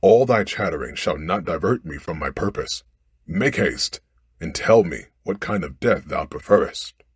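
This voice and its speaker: A man's voice: low-pitched, lively intonation, measured pace, slightly rough, no echo, clean studio sound, heavily acted. A man voicing a stern, menacing storybook genie who makes commanding pronouncements in archaic speech. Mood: stern, impatient. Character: stern, ungrateful, menacing genie freed from long imprisonment. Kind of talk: storybook character voice.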